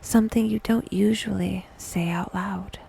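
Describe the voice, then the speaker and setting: A woman leading a guided meditation in a soft, breathy whisper, intimate and deliberate, with long pauses. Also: medium pitch, fairly even intonation.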